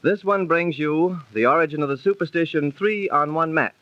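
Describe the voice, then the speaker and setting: A man announcing a radio drama in formal, measured, dramatic 1930s scripted narration. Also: fairly high pitch, dry recording. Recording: dull lo-fi 1930s radio recording.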